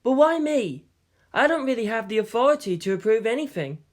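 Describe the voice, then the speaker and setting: A narrator doing a mild geeky young man, pitched up. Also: expressive, a little fast, natural voice. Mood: reluctant, curt. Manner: soft-hearted, slightly curt. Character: mild, reluctant, geeky young male lieutenant.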